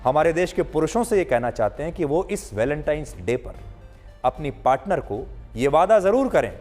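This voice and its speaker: Man, slightly high pitch, lively intonation, didactic news narration, faint music. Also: normal speed. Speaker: television news presenter. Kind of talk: scripted news explainer narration.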